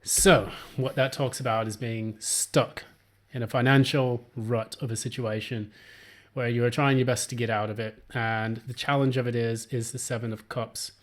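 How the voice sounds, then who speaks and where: A man gives a relaxed solo tarot reading in a middle-range voice. His voice is still a little hoarse as it recovers, and he rambles along with plenty of ums and restarts. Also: mid-range voice, normal speed. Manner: rambling, many filler words and restarts. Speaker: tarot reader.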